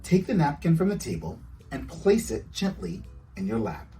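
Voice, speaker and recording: A man's voice: on the high side, huge pitch swings, normal speed, warm tone, soft background music. A man voicing a patient, proper mother who gives polite instruction in a storybook. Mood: instructing.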